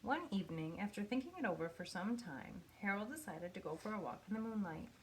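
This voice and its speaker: A woman reading a children's picture book aloud in an expressive storytelling manner, with pauses for effect. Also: medium pitch, slight background noise, poor audio. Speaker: storybook reader.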